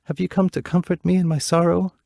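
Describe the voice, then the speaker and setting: A man voicing a sorrowful young princess, pleading and tender, in a higher voice than his narration. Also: level tone, fairly quick, vocal fry, a little mellow, no room sound, studio quality.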